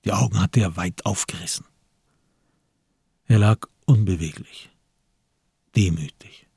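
Slow gravelly guy narrating a radio play, really husky, low voice. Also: warm tone, dry recording, studio quality. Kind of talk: scripted literary narration.

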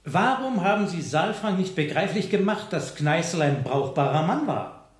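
An actor playing an indignant defence lawyer in a radio play, formally challenging a witness. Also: male voice, fairly high pitch, animated, moderate pace, close to an everyday voice. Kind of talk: German radio play courtroom acting. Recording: echoey 1970s radio drama studio.

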